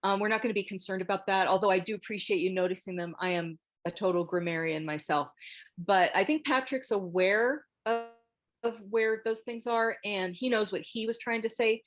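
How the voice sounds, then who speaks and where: A woman's voice: mid-range voice, normal speed. A woman hosting a casual group discussion over a video call, politely directing the others. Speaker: host moderating a script table read.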